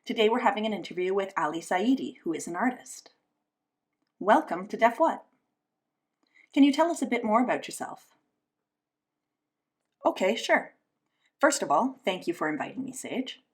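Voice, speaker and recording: A woman speaks as an interpreter, putting a signed interview into speech. Her voice sits at a middle pitch for a woman. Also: big pitch swings, moderate pace, long pauses, husky. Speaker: sign language interpreter voicing an interview.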